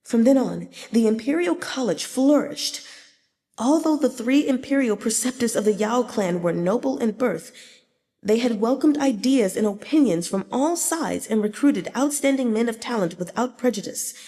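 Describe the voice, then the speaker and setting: A woman's voice: on the high side, animated, moderate pace, mellow. A woman reading a novel aloud in a dramatic audiobook style, with a long reverberant echo on the recording. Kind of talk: dramatic audiobook narration with acted dialogue.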